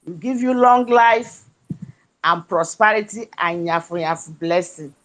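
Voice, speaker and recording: Slow, earnest woman translating a prayer. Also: fairly low pitch, a bit bright, rough recording. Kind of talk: casual chat, translating a prayer.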